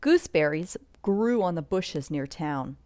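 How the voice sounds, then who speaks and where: A woman reading a children's bedtime story aloud in an expressive read-along style, sometimes commenting as she goes. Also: low voice, a little slow, no room sound. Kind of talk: bedtime story read-along narration with asides about pictures.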